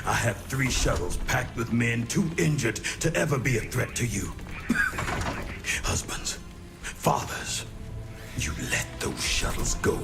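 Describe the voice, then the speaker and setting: A man playing a battle-worn, defiant military general in a video game, gruff and bitter, with a gravelly voice. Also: medium pitch, very animated, slow, long pauses, heavily acted. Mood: strained, pleading. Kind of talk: dramatic video game acting. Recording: music behind.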